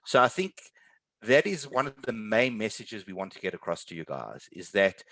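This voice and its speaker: Low-pitched man giving emphatic advice. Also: lively intonation, normal speed, pushed and bright, no echo, poor audio. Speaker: webinar panelist, tech sales professional. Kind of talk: earnest advice in an online panel. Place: online webinar.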